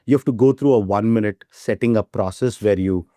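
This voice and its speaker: Man, lowish mellow voice, dry sound, keen startup guest who keeps checking in with "right?". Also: a little fast, no room sound. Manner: enthusiastic, repeats "right?" as a tag. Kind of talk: casual interview talk.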